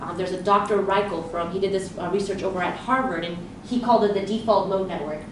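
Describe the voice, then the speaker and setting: A woman's voice: medium pitch, quick pace. Woman coaching in a very echoey room. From grew up in Southern California. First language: Romanian. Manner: lively storytelling with quoted inner thoughts. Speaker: leadership coach and speaker. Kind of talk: live conference presentation. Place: event room with an audience. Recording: very echoey room, voice far from mic, background noise.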